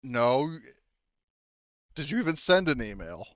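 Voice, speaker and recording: A man's voice: mid-range voice, very animated, measured pace, fairly bright tone, no echo. A man chatting casually as a player in a tabletop roleplaying game. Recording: slightly dull, lo-fi audio.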